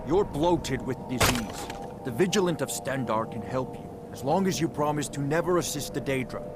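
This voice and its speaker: An actor playing a solemn, devout holy healer and demon hunter in a fantasy video game, formal and grave. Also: male voice, mid-range voice, animated, moderate pace, natural voice. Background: music.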